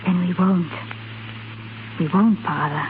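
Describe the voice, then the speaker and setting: An actress playing an earnest, compassionate young gentlewoman in 1930s radio drama style. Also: lowish voice, takes it slowly, faint music behind. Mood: kindly. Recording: hissy, narrow-band 1930s radio recording.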